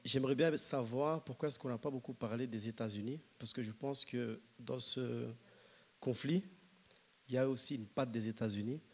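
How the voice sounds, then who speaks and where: A man from Rwanda speaking as an audience member at a public debate, hesitantly, pausing to find his words. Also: mid-range voice, husky. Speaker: audience member asking a question. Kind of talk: public question-and-comment at a debate.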